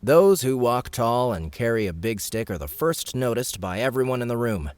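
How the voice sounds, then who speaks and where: A male narrator voicing an eager, formal naval petty officer who talks in a brisk, proper, slightly flustered way. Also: mid-range voice, very animated, darkish tone, no echo, voice pushed up, close to an everyday voice. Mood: explaining. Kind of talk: audiobook character voice.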